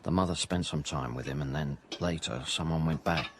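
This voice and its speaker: A sombre junior policeman gives a shaken report in a radio drama. His voice is extremely low and creaky, over clearly noisy ambience. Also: fairly quick.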